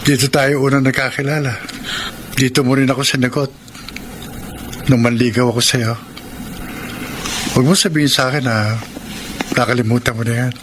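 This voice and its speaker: A man's voice: medium pitch, steady tone, moderate pace, pauses often, background noise, lightly acted. An actor playing an earnest, lovestruck young office man in a romantic radio drama. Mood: wistful. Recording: radio drama with loud music bed.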